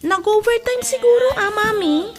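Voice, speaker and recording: Dutiful young kid, voiced by a woman: extremely high register, placating, comedy drama, clear music underneath. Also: normal speed. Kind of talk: Ilocano comedy drama acting.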